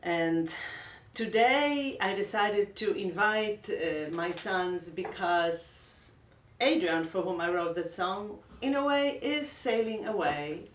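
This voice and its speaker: Gentle Polish-accented woman, slow and earnest. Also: mid-range voice, animated, echoey room, distant mic. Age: middle-aged. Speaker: vlogger. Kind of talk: heartfelt personal vlog.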